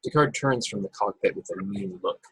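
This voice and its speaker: A man reads stage directions as narrator of a table read. His voice is fairly low and very husky, with a dry sound free of room echo. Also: brisk. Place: remote video call.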